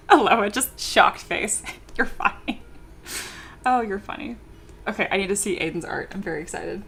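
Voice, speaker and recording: A young woman streaming live and chatting with viewers in a bubbly, excitable way, laughing often and reading chat messages aloud. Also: medium pitch, moderate pace, vocal fry, pauses often, a little hiss or hum. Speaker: streamer, 3D sculptor. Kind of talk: casual livestream chat with viewers.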